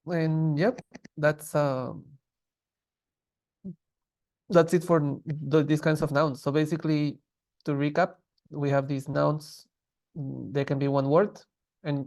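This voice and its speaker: Male language teacher, gravelly voice, relaxed and patient, talks through the grammar with lots of pauses. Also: fairly high pitch. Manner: patient, relaxed, with many fillers and restarts. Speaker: Classical Tibetan teacher. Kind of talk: explaining grammar in a class.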